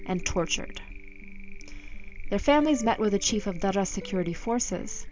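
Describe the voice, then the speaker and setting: A woman host reads scripted narration in a steady, even delivery. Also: mid-range voice, moderate pace. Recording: slightly dull lo-fi sound.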